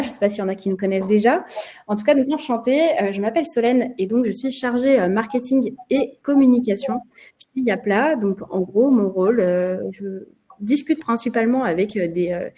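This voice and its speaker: A woman's voice: a little high, dark tone. A woman from France with a French accent, hosting a live webinar in a chatty way with many hesitations. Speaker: marketing presenter hosting a webinar.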